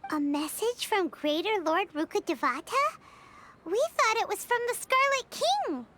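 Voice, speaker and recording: A woman's voice: very high voice, big pitch swings, a little slow, bright, forceful tone, full character voice. A woman voicing a tiny, inquisitive fairy companion in a video game, in a chirpy, eager, childlike manner.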